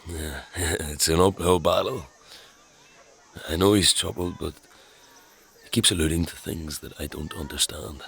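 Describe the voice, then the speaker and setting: A troubled fantasy scavenger with a deep, gravelly voice. He speaks slowly and haltingly, with dry sarcasm and a husky edge, as in a dark audio drama. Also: fairly even intonation, exaggerated voice. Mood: weary, friendly. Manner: hesitant, halting, dryly sarcastic. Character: gruff, troubled young male scavenger with inherited powers, wry.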